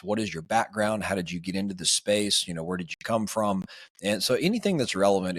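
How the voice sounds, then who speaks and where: Hoarse upbeat male podcast host. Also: low voice, dry recording, clean studio sound. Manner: upbeat, chummy, addresses listeners as family. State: hoarse, voice raspier and deeper than usual. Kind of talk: casual podcast interviewing.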